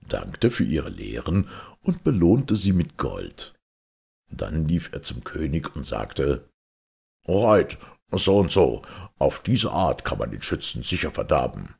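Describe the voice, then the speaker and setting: A man reading a fairy tale aloud as an expressive storyteller. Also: a bass voice, vocal fry, slightly rough. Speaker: storyteller, audiobook reader.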